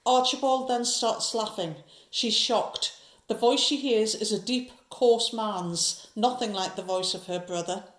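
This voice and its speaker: A woman's voice: fairly high pitch, a little slow, echoey room. A woman narrating a horror audio drama from a script, describing each scene.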